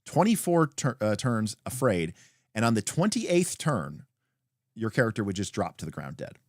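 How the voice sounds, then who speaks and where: A man with a fairly low voice reads rules text aloud as a host. His intonation swings widely, with emphatic, deliberate phrasing and short explanatory asides. The sound is dry, with very little room in it. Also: big pitch swings, dry recording. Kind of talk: reading a game rulebook aloud with brief asides.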